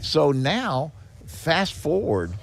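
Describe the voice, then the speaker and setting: A man's voice: fairly high pitch, big pitch swings, very slow, creaky. An older man talking conversationally as a podcast guest, explaining with anecdotes in a folksy, emphatic way and repeating key points for weight. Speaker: podcast guest, head of a seniors' nonprofit. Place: on location at a garage.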